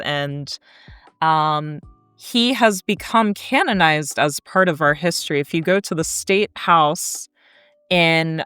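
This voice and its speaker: American woman, 30s, academic interviewee. Low pitch, slow measured delivery, articulate and reflective. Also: fairly bright tone, clear, resonant tone, dry recording. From Kansas, United States. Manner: articulate, deliberate, explanatory. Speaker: academic guest, historian. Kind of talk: reflective conversational storytelling.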